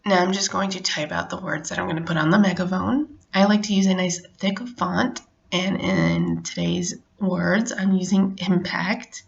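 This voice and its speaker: Low, creaky-voiced woman giving a tutorial. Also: animated, moderate pace, few pauses, lo-fi sound. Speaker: craft tutorial host. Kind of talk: casual how-to narration.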